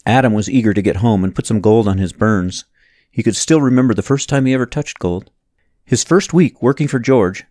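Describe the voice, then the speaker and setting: A man's voice: mid-range voice, a little mellow, no room sound, clean studio sound. A man reading a novel aloud as a scripted audiobook narrator.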